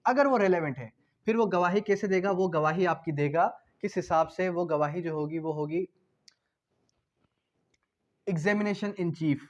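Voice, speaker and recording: High-pitched Pakistani man lecturing. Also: unhurried, pauses often, dull audio. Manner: didactic, repetitive, asking and answering own questions. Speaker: law teacher. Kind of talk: explanatory lecture mixing Hindi-Urdu with English legal terms.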